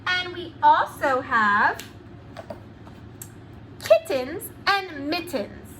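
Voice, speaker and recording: A woman preschool teacher giving an animated read-aloud lesson to young children, enunciating words deliberately and asking them questions. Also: high-pitched, slight background noise, low-quality recording.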